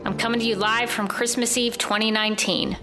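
A woman's voice: fairly high pitch, level tone, a little fast, lightly acted. A woman playing a wistful news producer giving an earnest, reflective monologue in an audio drama. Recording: music under the voice.

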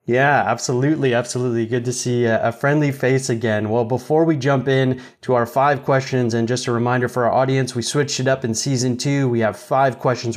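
A man hosting an interview podcast in a conversational, upbeat style. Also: medium pitch. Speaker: podcast host.

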